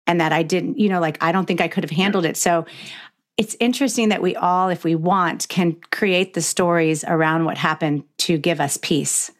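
A middle-aged woman with a low voice hosts an intimate interview. She speaks reflectively and conversationally, slipping into imagined quotes to make her points. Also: normal speed. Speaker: podcast host interviewing a guest.

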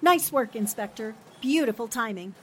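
A woman playing a composed, brave newspaperwoman in a 1940s-style radio thriller, brisk and self-possessed. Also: fairly high pitch, animated, background noise, close to an everyday voice. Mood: relieved. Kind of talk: 1940s radio drama acting.

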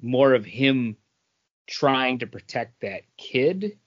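A man's voice: mid-range voice, expressive, takes it slowly, dull audio. A man in casual discussion as a podcast co-host, explaining and thinking aloud. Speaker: comic book podcast co-host. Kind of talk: casual book discussion chat.

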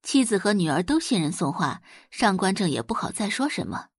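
Expressive, husky female narrator. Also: mid-range voice, a little fast, no echo, clean studio sound. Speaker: audiobook narrator. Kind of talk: dramatic scripted narration.

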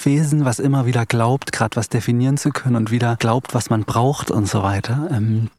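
A man reflecting as an interview guest, haltingly searching for his words as he explains his thoughts. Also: medium pitch, fairly even intonation, darkish tone, no echo. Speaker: interview guest, composer and pianist.

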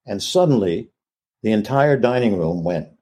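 Low husky man telling an anecdote. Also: expressive, moderate pace, warm tone. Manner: storytelling with repeated fillers. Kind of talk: anecdote in a clip.

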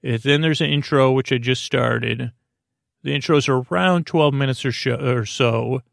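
A man hosting a sleep podcast in a creaky, lulling voice, rambling haltingly through tangents and correcting himself as he goes. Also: medium pitch, a bit bright, no room sound, studio quality. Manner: meandering, halting, full of tangents and self-corrections; soothing, lulling. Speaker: podcast host.

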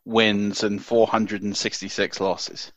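A man co-hosting a casual fantasy sports chat, loud and chatty, laughing often and rambling a bit. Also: lowish voice, flat delivery, slow pace, no room sound. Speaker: podcast co-host. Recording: dull lo-fi dry sound.

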